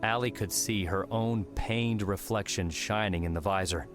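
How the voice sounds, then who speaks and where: A man with a fairly low voice narrates a dramatic adventure audiobook. He reads scripted prose as a storyteller, with music playing clearly behind him. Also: moderate pace.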